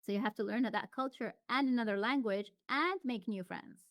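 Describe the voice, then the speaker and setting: A woman's voice: on the high side, moderate pace, fairly bright tone, dry recording, clean studio sound. A woman as an interview guest, talking casually and reflectively with frequent restarts and fillers. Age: adult.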